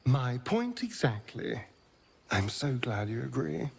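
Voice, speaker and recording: An actor playing a vain, sardonic vampire rogue in a video game, with a theatrical manner. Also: male voice, mid-range voice, big pitch swings, slow pace, vocal fry, husky, exaggerated voice. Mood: smug. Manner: theatrical, sardonic, swooping emphasis. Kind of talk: dramatic video game acting.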